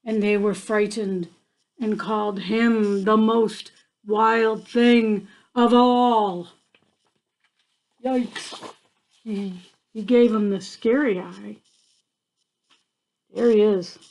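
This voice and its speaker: A woman's voice: mid-range voice, fairly even intonation, very slow, pauses often, darkish tone, low-quality recording. An older woman reading a picture book aloud to children like a grandmother, adding chatty asides and checking in with her young listeners.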